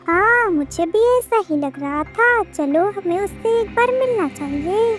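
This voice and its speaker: A woman's voice: very high-pitched, expressive, measured pace. A woman voicing an earnest, determined young bird detective in a cartoon story. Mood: earnest, curious. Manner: earnest, questioning. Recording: music behind the voice.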